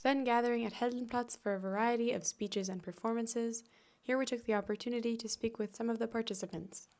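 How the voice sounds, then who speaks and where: Woman interviewer, clear presenting. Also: fairly high pitch, fairly even intonation, fairly quick, background noise. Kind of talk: scripted intro and interview questions. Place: outdoor festival square.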